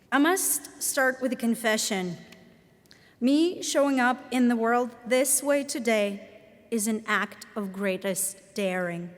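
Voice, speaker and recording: A woman in her thirties with a Latvian accent, giving a formal commencement address in a measured, earnest, deliberate way. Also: a little high. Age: 30s. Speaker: commencement speaker, professor. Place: large ceremony hall. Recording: reverberant hall sound.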